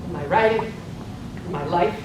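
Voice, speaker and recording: A woman's voice: moderate pace, lightly acted. A woman playing an earnest, eager newspaper reporter in a live stage play, recorded in an echoey room. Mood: eager, awed. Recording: echoey noisy room, distant mic, low rumble.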